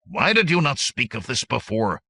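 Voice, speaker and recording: A man voicing a gruff, authoritative bandit lieutenant in an audiobook, barking his words. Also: on the low side, expressive, normal speed, sharp tone, no room sound, voice pushed up, full character voice. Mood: challenging. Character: gruff, commanding one-eyed bandit lieutenant.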